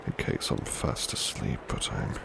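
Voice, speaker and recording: A man playing a big, burly, devoted butler in a soft ASMR roleplay, murmuring in a breathy, polite, tender and protective way. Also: unhurried, noisy background, close to an everyday voice. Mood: drowsy, concerned. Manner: polite, formal, tender, reassuring.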